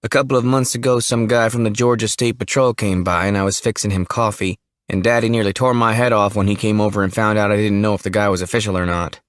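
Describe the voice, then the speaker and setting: A man's voice: lowish voice, steady tone, quick pace, hardly any pauses, no room sound, clean studio sound, close to an everyday voice. A man voicing a shy, nervous young man who works at his father's funeral home. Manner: jumpy, rural, keeps deferring to Daddy.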